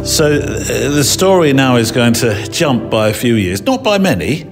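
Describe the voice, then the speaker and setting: A man's voice: medium pitch, sing-song, normal speed, over music. A man from Hertfordshire with a southern English accent, hosting a podcast with conversational questions and narration. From Hertfordshire, England. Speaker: podcast host.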